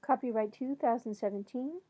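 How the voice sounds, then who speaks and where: A woman's voice: on the high side, brisk, dark tone. A woman reading scripted credits as an announcer, with slightly lo-fi sound. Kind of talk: scripted narration.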